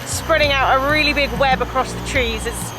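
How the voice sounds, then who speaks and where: A woman from the UK chats through a casual travel vlog, curious and upbeat. Her voice sits a bit high. Music plays behind her outdoors on a nature trail. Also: moderate pace, rough recording. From United Kingdom. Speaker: vlogger.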